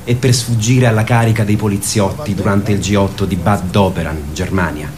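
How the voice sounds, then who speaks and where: A man's voice: lowish voice, fairly even intonation, moderate pace, a crowd in the background. A man delivering theatrical scripted narration as the narrator of a radio play.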